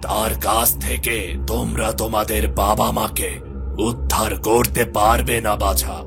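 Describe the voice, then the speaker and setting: A man's voice: lowish voice, level tone, a little slow, vocal fry, over music. A man playing a kindly old wandering holy man, grave and fatherly, in a children's fairy-tale cartoon. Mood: grave concern.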